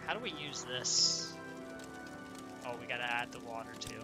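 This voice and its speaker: A man's voice: mid-range voice, normal speed, over loud music. A man with a stuffed-up voice, casually talking through his gameplay and asking himself questions as he goes. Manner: chatty, thinks aloud, asks himself questions. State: stuffed up, congested. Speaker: let's play gamer.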